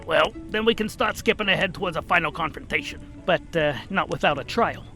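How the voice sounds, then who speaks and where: A man's voice: on the high side, a little fast, pushed and bright, soft background music. A man voicing a deferential clawed creature sidekick in a comic fantasy audiobook, hesitant, folksy and placating. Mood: musing.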